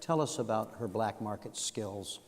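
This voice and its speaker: A man hosting an interview, gently prompting his guest with questions and frequent soft acknowledgments. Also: fairly low pitch, normal speed. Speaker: interviewer host. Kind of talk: guided interview. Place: museum auditorium. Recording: long hall reverb.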